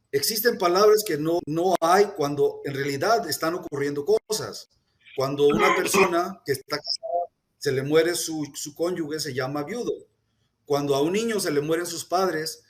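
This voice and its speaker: A man speaking earnestly at a press conference, deliberate, with hesitations and restarts. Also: a little high. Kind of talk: formal public statement.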